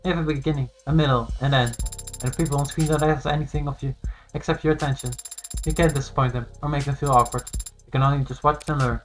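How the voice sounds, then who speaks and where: A man's voice: medium pitch, fairly even intonation, fast, faint music behind, close to an everyday voice, rough recording. A man voicing an earnest, naive, bookish female artificial intelligence in a video game, polite and literal, explaining things carefully. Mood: earnest, wistful.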